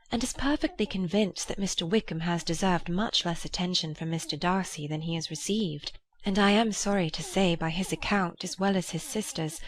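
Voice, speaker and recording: Lady doing a gentle young gentlewoman, mid-range voice, polite and earnest, classic novel drama. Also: medium pitch, lightly acted. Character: gentle, earnest, fair-minded young gentlewoman, elder sister.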